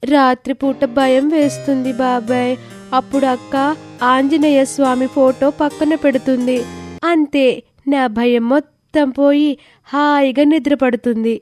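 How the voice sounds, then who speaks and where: A woman voicing a small, trusting young girl in an animated moral story. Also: high-pitched, a little slow, faint music behind. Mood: innocent, trusting.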